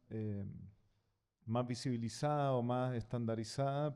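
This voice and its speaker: A man's voice: medium pitch, mellow, smooth. Man introducing a speaker in a hall. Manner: measured, with frequent fillers. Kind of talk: formal introduction. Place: lecture hall.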